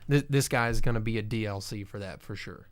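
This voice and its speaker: A man's voice: lowish voice, a little fast. A man co-hosting a movie podcast, laid-back and jokey, tossing in comparisons and trailing off mid-thought. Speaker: podcast co-host. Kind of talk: casual movie chat.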